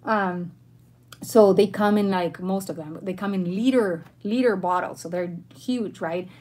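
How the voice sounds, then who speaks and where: A woman in her thirties with a mid-pitched voice hosts a relaxed livestream from home. She is from Argentina and talks informally, addressing viewers like friends as she answers their questions. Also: measured pace. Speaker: livestream host. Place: bedroom at home, indoors.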